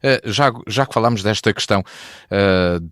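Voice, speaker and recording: A man hosting a radio interview and asking questions haltingly, with several restarts. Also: fairly low pitch, a bit bright, smooth, no room sound, clean studio sound. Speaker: radio host. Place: radio studio.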